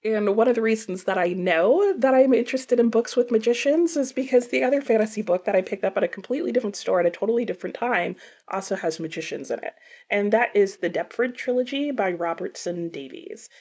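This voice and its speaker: A woman talking casually as a book reviewer, conversational, with animated asides and self-corrections. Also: mid-range voice, fast. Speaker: book reviewer presenting a book haul. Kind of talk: casual chat to camera.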